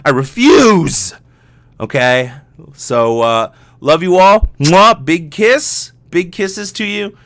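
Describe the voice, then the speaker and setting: A man's voice: fairly high pitch, animated, slow pace, a bit bright. A man hosting a casual solo podcast, talking in a rambling, jokey, self-deprecating way with some swearing. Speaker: podcast host and professional voice actor.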